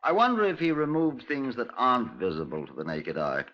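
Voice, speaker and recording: An actor playing a brisk, methodical British police inspector in a 1950s radio drama, with a clipped, formal, measured delivery. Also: male voice, medium pitch, very animated, no echo, natural voice. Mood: brisk, probing. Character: brisk, methodical male Scotland Yard inspector. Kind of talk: 1950s radio drama acting, narration and dialogue. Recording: dull, lo-fi 1950s radio recording.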